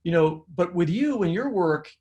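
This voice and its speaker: Halting man podcast host, slightly high voice. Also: animated, a little mellow, lo-fi sound. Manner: halting, repeats words while forming thoughts. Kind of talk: conversational literary interview.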